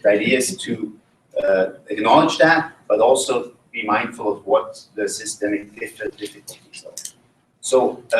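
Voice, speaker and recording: A man from Switzerland gives an academic talk at a conference and turns to the audience with questions. His voice sits at an ordinary middle pitch. It is picked up from some distance in a roomy, echoing hall. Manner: engaging, asks the audience questions. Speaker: conference presenter. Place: conference room.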